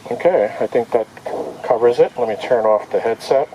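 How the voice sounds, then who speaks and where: A man's voice: mid-range voice, moderate pace, mellow, slight background noise, rough recording. A man giving a casual hands-on product demonstration outdoors, thinking aloud in short step-by-step remarks. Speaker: product reviewer. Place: outdoor backyard.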